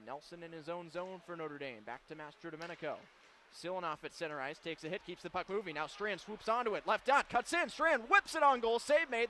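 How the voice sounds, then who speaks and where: A man calling hockey on radio in a high, bright, forceful voice. He rattles off quick, clipped calls of every pass and shot and bursts into shouted goal calls, with faint noise in the background. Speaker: play-by-play announcer.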